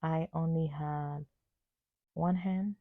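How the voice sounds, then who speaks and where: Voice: medium pitch, steady tone, slow, no room sound. An amateur reviewer of unclear sex giving a casual unboxing voice-over in short, plain, slightly hesitant sentences. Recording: muffled phone-like narrow sound.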